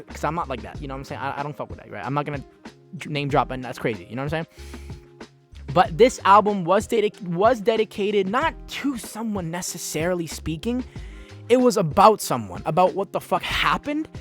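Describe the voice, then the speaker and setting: A man's voice: on the high side, big pitch swings, brisk, a bit bright, faint music behind. A young man from a Dominican and Peruvian background hosting his own podcast, rambling loosely, restarting sentences and swearing often. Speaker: podcast host. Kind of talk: casual solo podcast talk.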